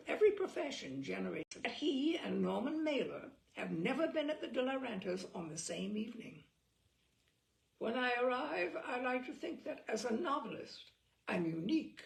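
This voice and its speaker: A woman host reading a humorous essay aloud in a wry, lively storytelling manner. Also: mid-range voice, creaky. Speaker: host and reader. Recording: echoey room.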